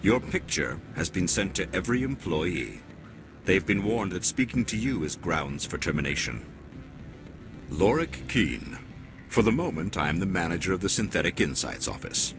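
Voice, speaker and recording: A man playing an old, courteous and wry alien office manager in a video game, with measured, formal speech. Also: sits low, big pitch swings. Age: older. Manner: measured, formal, sly politeness. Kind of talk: video game dialogue acting. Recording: music behind the voice.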